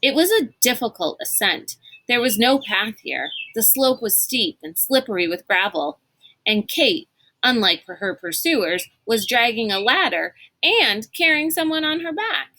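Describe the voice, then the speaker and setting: A woman reading a storybook aloud as an expressive narrator. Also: a little high, unhurried.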